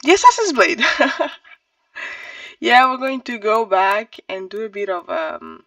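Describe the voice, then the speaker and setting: A casual book reviewer thinks aloud in a slow, hesitant flow, often correcting course. The pitch swings widely and drops into a gravelly creak. The recording sounds a little distant from the mic. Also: sing-song, bright, forceful tone, no echo, clean studio sound. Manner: halting, hesitant, self-correcting. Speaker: book reviewer on own channel.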